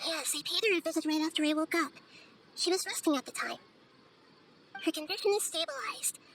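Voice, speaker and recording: A woman voicing a wise, gentle little-girl god in a video game, speaking in a measured, thoughtful, articulate way. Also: extremely high pitch, noisy background. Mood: earnest, thoughtful. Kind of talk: scripted video game voice acting.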